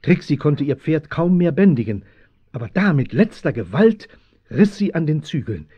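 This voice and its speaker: Ein Schauspieler als Erzähler eines Abenteuerhörspiels für Kinder, mit dramatischem Vortrag. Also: male voice, fairly high pitch, very animated, normal speed, slightly rough, muffled recording. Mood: dramatic, urgent. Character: storytelling male narrator of children's adventure. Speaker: narrator. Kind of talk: dramatic scripted audio play narration.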